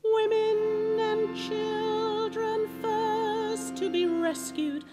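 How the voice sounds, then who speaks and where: A woman's voice: very high-pitched, exaggerated voice. A woman performing as a lamenting ballad singer, in a sung folk lament with music behind.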